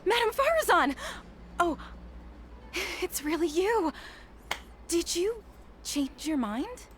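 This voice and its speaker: A woman voicing an eager, persistent young academy researcher in a video game, excitable and keen to persuade. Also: very high voice, takes it slowly, slight background noise, heavily acted.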